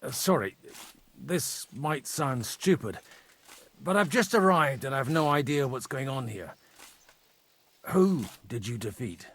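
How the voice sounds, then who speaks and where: A wry, worldly warrior priest. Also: male voice, mid-range voice, expressive, fairly bright tone. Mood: polite, probing. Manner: measured, dryly witty, quotes Latin. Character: worldly, wry, sword-wielding medieval parish priest. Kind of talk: video game cutscene acting.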